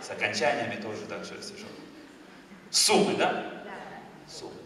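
A man in his thirties from Moldova, with a Moldovan accent, preaching a lively sermon on a church stage and moving between loud emphasis and quiet asides. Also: on the low side, normal speed. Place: large church hall with a stage. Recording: echoey church hall, far from the mic.

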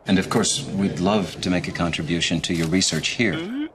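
Low-voiced, earnest American dad. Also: male voice, very animated, moderate pace, noisy background. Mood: eager salesman. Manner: folksy, earnest. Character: earnest middle-aged American father posing as wealthy adventurer. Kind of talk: film-style dramatic dialogue in a game.

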